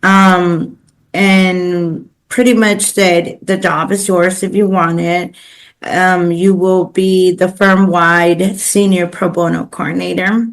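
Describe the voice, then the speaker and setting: A woman's voice: lowish voice, flat delivery, slow pace. A woman who is a native Spanish speaker, talking earnestly and at length about her work as an interview guest. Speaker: podcast guest, pro bono legal coordinator. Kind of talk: conversational interview, personal career storytelling.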